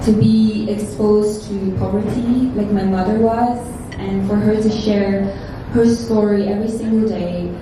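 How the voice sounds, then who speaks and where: A woman from France speaking earnestly and from the heart, answering at a press conference. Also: medium pitch. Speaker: pageant titleholder. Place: large echoey room at a press conference. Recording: echoey hall, distant mic, clear noise.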